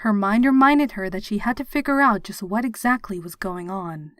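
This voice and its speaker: A woman's voice: mid-range voice, normal speed, fairly bright tone, dry recording, clean studio sound. A woman reading a horror story aloud as a scripted, dramatic narrator. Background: background music.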